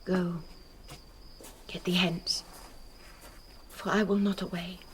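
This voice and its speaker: A woman's voice: on the low side, flat delivery, slow, slight background noise. A woman playing a grief-stricken, resolute young bride in classical Shakespearean radio drama, with intense verse delivery. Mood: hushed grief.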